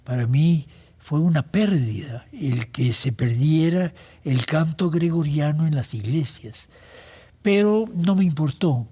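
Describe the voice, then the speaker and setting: Older Costa Rican man, slow and husky. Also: fairly high pitch, warm tone. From Costa Rica. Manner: halting, pausing mid-phrase. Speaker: composer being interviewed. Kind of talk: reflective reminiscence.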